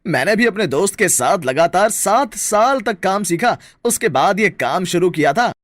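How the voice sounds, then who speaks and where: A man's voice: high-pitched, lively intonation, normal speed, a bit bright, no room sound, studio quality. A man playing a helpful, practical shopkeeper in a story, giving frank advice to his brother-in-law. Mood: practical, reassuring. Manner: frank, advising.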